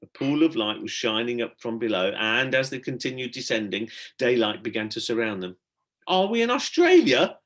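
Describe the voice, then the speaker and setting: A man reading a children's adventure story aloud as a teacher would, in expressive storybook narration. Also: mid-range voice, fairly quick, few pauses.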